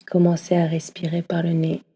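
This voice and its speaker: A woman leading a guided yoga nidra session, speaking slowly and softly, often slipping into a half-whisper. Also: lowish voice, monotone, husky. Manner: slow, soft, often half-whispered delivery. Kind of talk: guided meditation. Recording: long reverb as in a hall.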